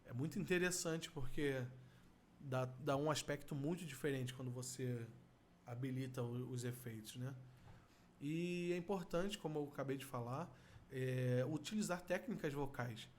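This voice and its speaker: A man's voice: mid-range voice, moderate pace, a little hiss or hum. A middle-aged man with a Brazilian accent, explaining vocal effects as an instructor in a tutorial. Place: small indoor room at a computer.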